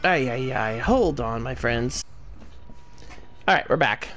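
A man's voice: mid-range voice, animated, takes it slowly, fairly bright tone, faint music behind. A man giving rambling, casual commentary while playing a game. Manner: rambling, talks to himself. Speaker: gameplay streamer.

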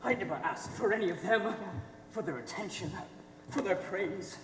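A man's voice: high-pitched, moderate pace, lightly acted. A young man on stage playing a hurt, defiant outcast boy, anguished and volatile. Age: young adult. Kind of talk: high school stage play acting. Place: theater stage, filmed from the audience. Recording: distant, noisy, echoey theater stage.

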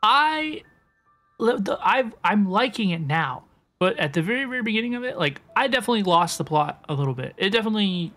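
A woman's voice: medium pitch, very animated, brisk, a bit bright. Chatty woman streamer reacting over game music. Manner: chatty, repeats words for emphasis. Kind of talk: casual live game-stream reaction chat.

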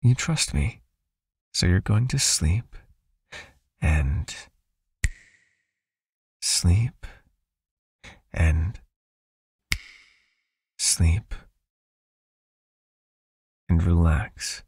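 A man voicing a possessive, soothing vampire hypnotist in an ASMR roleplay, with a hushed, coaxing manner and a raspy, creaky, breathy voice. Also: low-pitched, expressive, drawn-out words, pauses often, full character voice. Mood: soothing, commanding. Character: possessive soothing male vampire hypnotist, controlling yet caring.

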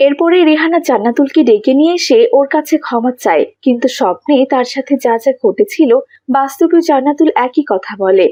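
A woman's voice: high-pitched, expressive, brisk, warm tone, no echo. A woman narrating a scripted moral story for children.

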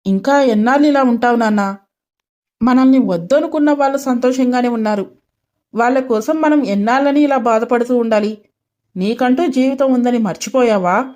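A woman's voice: on the high side, moderate pace, a little mellow, lo-fi sound, lightly acted. A woman voicing a loving, worried and insistent mother of a grown son in a dramatised story, pleading earnestly. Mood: worried, sad.

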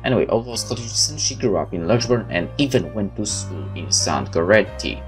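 A man's voice: fairly low pitch, lively intonation, brisk. A man hosting a video game playthrough, reading on-screen text aloud and adding his own asides. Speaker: gaming video host. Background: game music.

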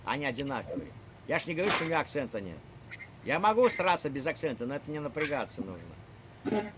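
A man's voice: medium pitch, very animated, quick pace, background noise. An older man from Ukraine hosting chats with strangers on a video call from his home room, talking in a lecturing, provocative way with many hesitations. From Ukraine (Kharkiv). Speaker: chat roulette host. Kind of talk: casual video chat with strangers. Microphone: webcam on video call.

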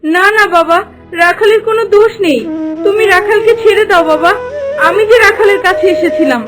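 A woman performs a lovestruck young maiden from a cartoon fairy tale. Her voice is extremely high, gentle and pleading, a landlord's sheltered daughter. Music is clearly audible behind her. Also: a little fast.

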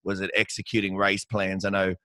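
Aussie male host, lowish voice, matey and chatty, dry headset. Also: monotone, a little fast, pushed and bright, dry recording. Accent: Australian. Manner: matey, chatty, calls guest mate. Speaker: podcast host and swim coach. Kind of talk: casual interview. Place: small room with hung jerseys.

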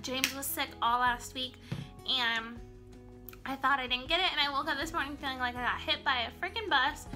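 A woman's voice: sits high, steady tone, normal speed, music in the background. A young woman chatting casually while doing her makeup in a small bedroom, a bit nasal from a cold and rambling with lots of ums and likes. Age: young adult. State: sick, slightly nasal. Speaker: beauty vlogger. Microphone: camera in front, close framing.

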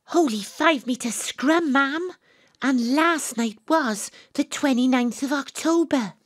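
An actress with a Welsh accent playing an excitable young woman investigator in an audio drama, with breathless, suspenseful delivery. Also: high-pitched, slow pace, dry recording, studio quality. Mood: agitated, earnest. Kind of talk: audio drama narration and dialogue.

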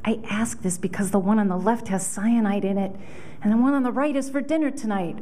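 A woman playing a harried, casually sinister deputy mayor mom in a deadpan, matter-of-fact comedy monologue. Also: medium pitch, brisk, a little hiss or hum, natural voice. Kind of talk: audition comedy monologue read. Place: hotel conference room, at a standing mic.

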